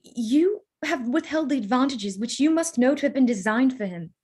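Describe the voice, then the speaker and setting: A woman playing a proud, sharp-tongued young Regency gentlewoman in a staged reading, speaking in pointed, formal phrases. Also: high-pitched, lively intonation, normal speed, breathy edge. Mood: indignant. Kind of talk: staged reading of a period drama.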